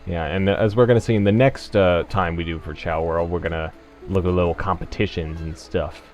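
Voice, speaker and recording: Male let's play host, low pitch, casual and halting delivery, faint music behind. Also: fairly even intonation, darkish tone, dull audio. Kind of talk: casual game commentary. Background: game music.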